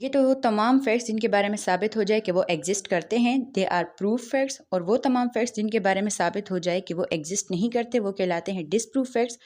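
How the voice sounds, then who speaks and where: A woman's voice: mid-range voice, darkish tone. A woman with a Pakistani accent explaining like a law lecturer, didactic, often posing questions and answering them herself.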